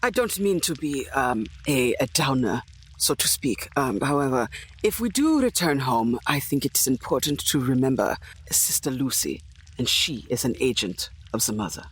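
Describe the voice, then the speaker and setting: A man playing a tabletop game in character, speaking hesitantly with careful, polite phrasing and a husky, creaky voice. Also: mid-range voice, sing-song, normal speed, a bit bright. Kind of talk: improvised in-character game talk.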